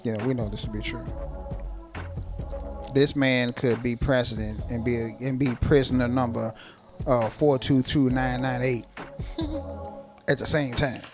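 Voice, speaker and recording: A man of middle pitch co-hosting a casual podcast, leaning on slow, stretched-out phrases and repeating himself for weight. Music plays clearly beneath his voice. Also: steady tone. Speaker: podcast co-host. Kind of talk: casual political chat.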